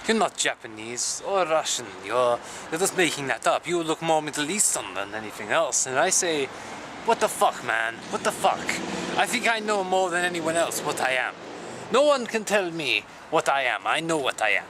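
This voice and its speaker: A man playing a deadpan self-styled samurai. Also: a little high, moderate pace. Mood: angry. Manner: deadpan, rambling, with sudden crude outbursts. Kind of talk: improvised character comedy to camera. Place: outdoors on city streets. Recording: loud street and traffic noise.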